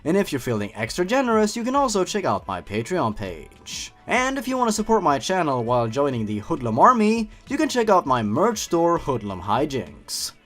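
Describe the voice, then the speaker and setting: A man's voice: on the high side, huge pitch swings, moderate pace, few pauses, fairly bright tone, faint music behind. A man hosting a review show, giving scripted, opinionated commentary with sarcastic asides. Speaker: host of a horror story review channel. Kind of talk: scripted review commentary with story read aloud.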